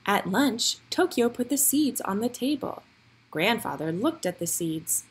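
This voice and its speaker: A woman reading a picture book aloud for young children, with expressive, deliberate storytelling phrasing. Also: fairly high pitch, slightly rough.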